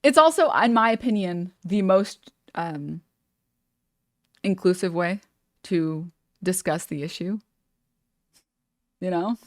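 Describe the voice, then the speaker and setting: A woman's voice: on the low side, expressive, takes it slowly, pauses often, no echo, studio quality. A young woman streaming, chatting casually with lots of "like" and "you know" as she meanders through her thoughts. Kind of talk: casual reaction stream commentary.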